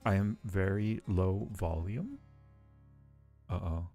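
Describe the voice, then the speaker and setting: A man's voice: sits low, takes it slowly, dark tone, soft background music. A man chatting casually and playfully with viewers on a livestream, teasing them affectionately. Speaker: live streamer hosting a chat.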